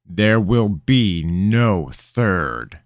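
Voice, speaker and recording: A man voicing a menacing, arrogant king who speaks slowly and loudly with threat in his voice. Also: low voice, animated, no echo, studio quality, heavily acted. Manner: loud, slow, dangerous commands.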